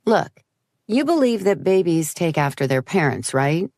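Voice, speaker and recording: A woman's voice: on the low side, sing-song, measured pace, darkish tone, no room sound, studio quality, voice pushed up. A woman voicing an argumentative, exasperated sixteen-year-old girl with an insistent, pressing delivery in an audiobook. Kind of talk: audiobook character voice.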